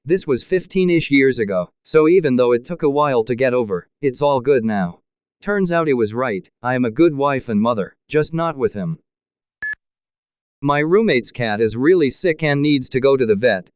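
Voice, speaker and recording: Male narrator, smooth clean voice, dry close recording, steady matter-of-fact read. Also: medium pitch, no echo. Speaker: narrator reading posts. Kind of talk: reading forum posts aloud.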